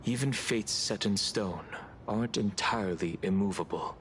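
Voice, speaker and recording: An actor playing a serious, guarded young traveler in a video game, speaking formally and with measured earnestness. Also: male voice, fairly low pitch, a bit bright, slight background noise, close to an everyday voice. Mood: guarded, earnest. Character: serious, guarded young male traveler protecting his companions. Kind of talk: video game voice acting, cutscene dialogue. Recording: game music clearly behind the voice.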